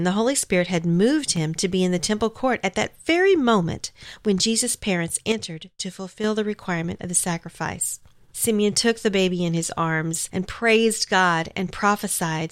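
A woman's voice: mid-range voice, no echo, studio quality. A woman co-hosting a faith program, talking and reading in a devotional style. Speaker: program co-host. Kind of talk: devotional talk and scripture reading.

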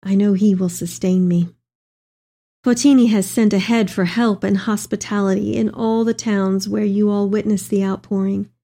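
A woman's voice: medium pitch, fairly even intonation, normal speed, vocal fry, dark tone. A woman playing an elderly, devout mother in a dramatic reading of letters to her son, with tender, heartfelt delivery. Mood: tender, hopeful. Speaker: dramatic reader. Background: music.

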